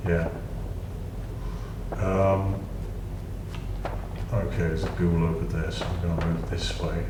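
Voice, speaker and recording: A man's voice: low-pitched, level tone, slow. A man with a British accent giving casual, rambling gameplay commentary with dry, self-mocking asides. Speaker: gaming channel host. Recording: echoey room, distant mic, noisy.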